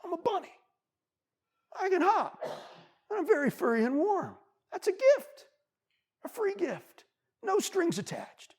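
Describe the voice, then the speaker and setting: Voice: extremely high pitch, wildly swooping pitch, slow, long pauses, a little mellow, pitched above the performer's own voice, exaggerated voice. A storyteller voicing a tearful little bunny. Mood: elated. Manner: tearful, whiny when upset. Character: sad lonely young male bunny.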